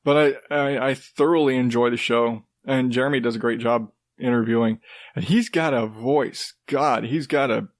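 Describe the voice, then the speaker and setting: A man hosting his own podcast, rambling casually with restarts and the odd swear, in a rather deep voice while coming down with a cold. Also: steady tone, moderate pace, dry recording, clean studio sound. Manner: rambling, loose, with swearing and self-interrupting restarts. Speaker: podcast host. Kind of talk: casual solo podcast talk.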